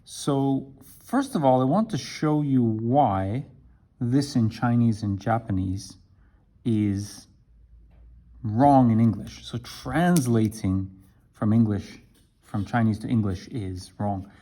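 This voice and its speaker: A man teaching an English lesson to camera, talking slowly and deliberately and checking in with "right?". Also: medium pitch, warm tone. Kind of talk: explaining a language lesson to camera.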